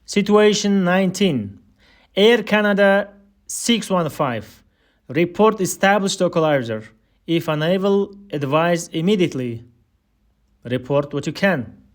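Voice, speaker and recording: Male instructor, high voice, unhurried and deliberate, reading scripted air traffic control phraseology for a listening drill.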